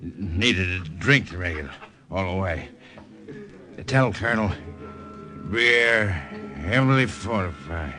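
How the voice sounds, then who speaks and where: Dying lieutenant, deep, halting and very slow, slurred, old radio drama. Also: male voice, animated, music in the background. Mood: weak, urgent. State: weak, slurred, labored. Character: exhausted, mortally wounded American lieutenant, drunk-seeming.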